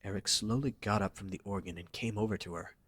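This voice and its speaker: A man's voice: on the low side, quick pace, a bit bright, no echo. A man narrating a scripted romantic story for an audio drama, reading dramatically.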